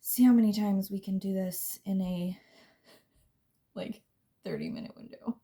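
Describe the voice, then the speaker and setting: A young woman with a scratchy voice, chatting casually and rambling as she does her makeup, often dropping into soft near-whispers. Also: medium pitch, steady tone, measured pace, husky. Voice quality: scratchy smoker's voice. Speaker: beauty vlogger. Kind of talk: casual chat while doing makeup.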